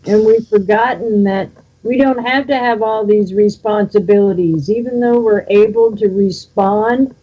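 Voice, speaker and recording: A woman on a radio panel, speaking in a gentle, reflective way. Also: medium pitch, a little slow, lo-fi sound. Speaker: panel guest.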